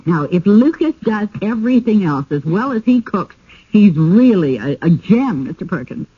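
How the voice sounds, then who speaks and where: An actress playing an agreeable, conciliatory wife in a radio drama, polite and gently persuasive. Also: female voice, medium pitch, sing-song, moderate pace, slightly rough. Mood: pleased, polite. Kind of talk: 1980s radio drama acting. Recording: muffled old radio broadcast.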